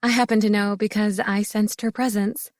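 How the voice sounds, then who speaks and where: A woman voicing a young adult heroine, excitable and in love, speaking in a bright, breathless way. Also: mid-range voice, moderate pace, no echo, clean studio sound, close to an everyday voice. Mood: excited.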